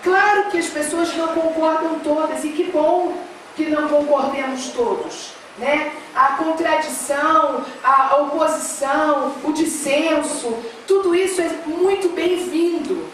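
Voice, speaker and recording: A woman's voice: sits high, a little slow, slight background noise. Middle-aged Carioca woman on a panel, earnest and filler-heavy, set back from the mic in a roomy hall. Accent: Carioca Portuguese, mixed with São Paulo. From Rio de Janeiro, Brazil. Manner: earnest, many fillers, warms to emphatic. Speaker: education official speaking on a panel. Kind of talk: public forum speech. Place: large hall at a public forum. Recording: echoey hall, speaker far from mic.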